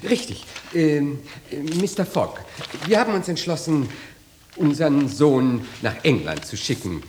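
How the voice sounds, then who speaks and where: A man's voice: fairly high pitch, lively intonation, measured pace, darkish tone, a little hiss or hum. An actor playing a fussy, flustered middle-aged bourgeois father who speaks with formal, hesitant politeness in a radio play. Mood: eager, flustered.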